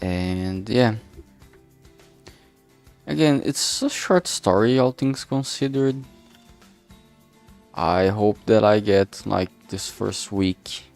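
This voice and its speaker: A man's voice: fairly low pitch, slow, vocal fry, pauses often, a little mellow, soft background music. A man chatting casually on a work stream, thinking aloud hesitantly and often trailing off. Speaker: streamer making a visual novel.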